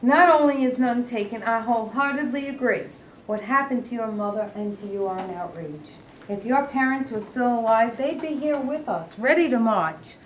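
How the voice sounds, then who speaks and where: A woman reading the part of a forceful, rallying male activist. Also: fairly high pitch, animated, moderate pace, close to an everyday voice. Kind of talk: staged reading. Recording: echoey room, noisy.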